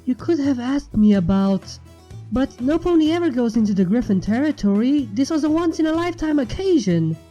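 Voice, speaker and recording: A man's voice: very high-pitched, big pitch swings, brisk, dark tone, voice pushed up, low-quality recording. A man voicing a regal female pony princess in a formal, commanding manner. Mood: stern, disappointed. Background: background music.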